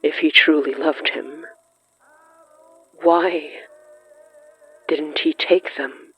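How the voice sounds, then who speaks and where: A narrator reading scripted horror fiction in the third person, brooding and dramatic, with lingering pauses. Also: mid-range voice, steady tone, slightly rough. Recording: muffled lo-fi sound with music underneath. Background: music.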